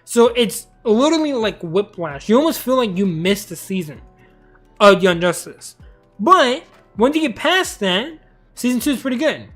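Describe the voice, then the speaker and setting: High-voiced tired young man streaming. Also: fairly bright tone. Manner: rambling, talkative. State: tired, losing his voice. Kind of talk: casual live-stream commentary.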